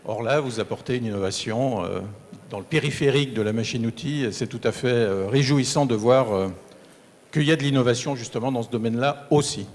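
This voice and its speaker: A man presenting an innovation award, speaking in a measured, formal way with some fillers. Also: medium pitch. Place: large exhibition hall. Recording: hall reverb.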